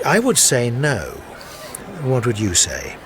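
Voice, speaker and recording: A man's voice: mid-range voice, wildly swooping pitch, slow pace. An actor playing a suave, menacing man of about fifty, urbane and drily amused, in a radio drama. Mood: coolly amused. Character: suave fifty-year-old male stranger, cold, amused, menacing. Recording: noisy outdoor crowd ambience behind.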